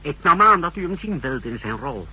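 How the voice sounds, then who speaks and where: A man's voice: fairly high pitch, big pitch swings, normal speed, bright, forceful tone, slight background noise, exaggerated voice, low-quality recording. A man playing a keen, self-satisfied intelligence aide in a 1960s radio drama, with precise, formal speech. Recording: humming old 1960s radio recording.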